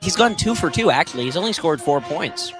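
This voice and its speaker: A man's voice: on the high side, big pitch swings, brisk, music in the background. A young man giving informal, conversational color commentary during a live college basketball radio broadcast.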